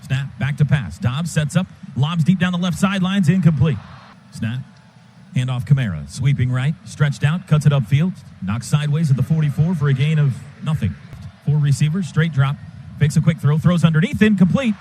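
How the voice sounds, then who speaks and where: Radio play-by-play man, high voice, rapid clipped calls, noisy stadium broadcast sound. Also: expressive, mellow. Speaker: play-by-play announcer.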